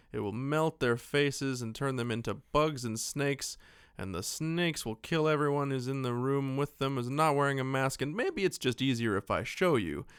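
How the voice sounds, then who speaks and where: A man's voice: medium pitch, a bit bright, clean tone, dry recording, clean studio sound. A man co-hosting a podcast, giving a casual, joking film recap in a rambling, loose-tongued way. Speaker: podcast co-host.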